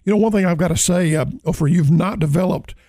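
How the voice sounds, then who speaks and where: A man's voice: high-pitched, fast talker, warm tone, no echo, studio quality. A man hosting a radio talk show, friendly and conversational with his guest. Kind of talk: radio interview.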